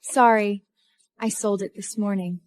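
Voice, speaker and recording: A woman's voice: medium pitch, steady tone, measured pace, dry recording, close to an everyday voice. A woman acting a cool, defiant heiress who narrates her own revenge story in a scripted first-person drama. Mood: cool, firm. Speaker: narrator and lead of an audio drama.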